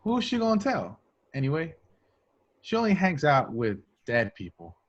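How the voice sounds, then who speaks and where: A man's voice: fairly high pitch, huge pitch swings, moderate pace. A man playing a cocky, indignant young male secret agent with streetwise talk in an amateur table read. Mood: pleading, protesting. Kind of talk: casual at-home script table read. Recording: dull lo-fi home recording.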